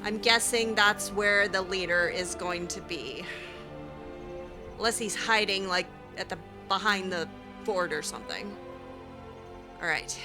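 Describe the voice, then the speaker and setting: A woman's voice: mid-range voice, measured pace, long pauses, music in the background. A woman giving in-character commentary as she plays a video game, with wry, exasperated asides. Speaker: gaming video host playing in character.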